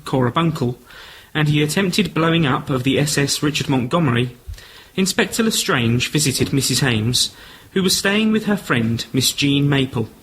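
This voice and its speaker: A British man narrates a radio play from a script as its announcer. His voice is a little high, over faint background noise. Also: a bit bright, low-quality recording.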